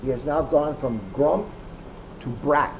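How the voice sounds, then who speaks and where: A police officer reading a children's picture book aloud, adding wry asides. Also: male voice, fairly high pitch, takes it slowly. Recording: muffled lo-fi recording with faint hum.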